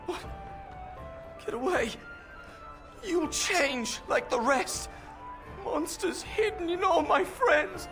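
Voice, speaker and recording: A man's voice: very high-pitched, animated, takes it slowly, long pauses, music in the background, heavily acted. A man playing a frantic, paranoid character in a video game, raving erratically in panic. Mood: terrified.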